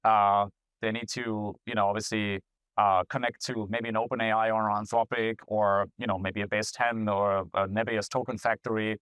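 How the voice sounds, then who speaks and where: A man's voice: lowish voice, level tone, normal speed, a bit bright, clear, resonant tone, no echo. Upbeat man interviewing, dry close sound. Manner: upbeat, enthusiastic, repeats words as he starts sentences. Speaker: interviewer, company CEO. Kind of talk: casual interview conversation. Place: event venue.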